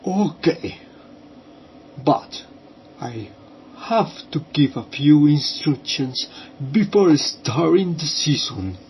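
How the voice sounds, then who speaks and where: A man playing a theatrical esoteric medium in a scripted role-play, with solemn, incantatory, halting delivery. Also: on the high side, big pitch swings, darkish tone, heavily acted. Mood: solemn, instructing. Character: theatrical male esoteric medium summoning spirits. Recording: clearly noisy amateur recording.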